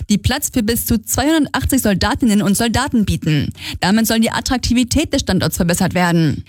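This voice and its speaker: A woman's voice: on the high side, no room sound. A woman reading scripted regional radio news as an anchor, close on a studio microphone.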